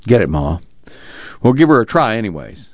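A man's voice: fairly low pitch, very animated, normal speed, no room sound, muffled recording. Guy doing a practical country son, talking sense, folksy, dry audiobook sound. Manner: folksy, reasoning. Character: practical, level-headed grown farm son.

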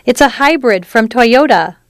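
A man's voice: very high-pitched, lively intonation, a little slow, a bit bright, dry recording, clean studio sound, pitched above the performer's own voice, heavily acted. A man voicing an anxious young New York woman, polite but indignant, in an audiobook story. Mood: nervous, polite.

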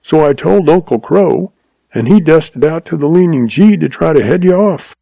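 A man's voice: on the high side, lively intonation, moderate pace, mellow, no echo, voice pushed up, rough recording. A man voicing a shaken young woman in a western story, speaking with a catch in her voice. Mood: pleading. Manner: breathy, catching voice.

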